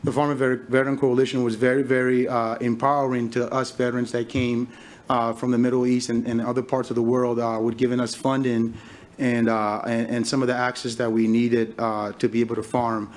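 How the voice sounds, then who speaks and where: A man from Liberia in his thirties, a farmer giving a conference talk, speaking haltingly with many fillers and restarts. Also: mid-range voice, level tone. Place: conference hall stage.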